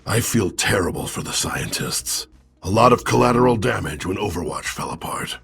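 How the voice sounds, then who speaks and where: Casting: grizzled game soldier, low, gravelly, terse and stern. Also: male voice, animated, normal speed, a bit bright, soft background music, exaggerated voice. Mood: grim regret. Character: grim, gruff ageing soldier vigilante. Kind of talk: video game character acting.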